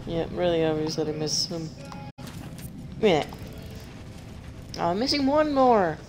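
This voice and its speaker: A woman with a deep voice, chatting casually as she plays a game on stream, joking at herself and humming while she searches. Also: big pitch swings, unhurried, darkish tone, faint music behind, rough recording. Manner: chatty, self-mocking, giggly, hums while searching. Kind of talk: casual gameplay commentary.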